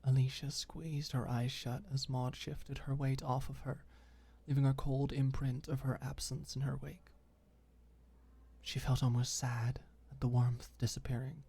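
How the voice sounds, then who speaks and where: A woman reading scripted ASMR audiobook fiction softly and intimately, with deliberate pauses. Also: rumbling low, vocal fry, slightly rough. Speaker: audiobook narrator.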